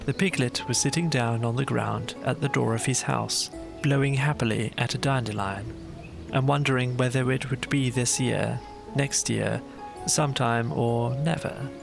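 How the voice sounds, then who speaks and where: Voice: medium pitch, normal speed, vocal fry, music in the background. A storyteller reading scripted narration for a cosy bedtime audiobook.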